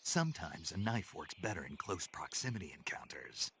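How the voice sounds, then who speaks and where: Give this stoic video game soldier a very low, gravelly voice. He speaks in terse, deadpan phrases with lively swings in pitch, over faint background noise. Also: normal speed, exaggerated voice. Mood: terse, matter-of-fact.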